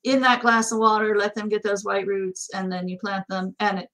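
A woman's voice: mid-range voice, level tone, moderate pace, a bit bright. A middle-aged woman with an American accent, co-hosting a casual, conversational question-and-answer chat. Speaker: co-host. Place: small indoor office.

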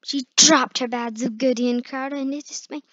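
A woman reading a children's picture book aloud, sometimes halting over harder words. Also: high voice, steady tone, fairly bright tone. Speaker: read-aloud storyteller. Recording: muffled, echoey room recording.

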